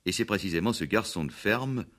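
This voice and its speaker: Deep-voiced male radio drama narrator. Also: lively intonation, fairly quick, a bit bright.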